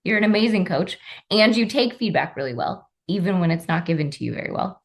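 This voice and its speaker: A woman's voice: lowish voice, expressive, quick pace. A woman hosting a workplace podcast, leading a casual chat with friendly, self-deprecating remarks. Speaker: podcast host.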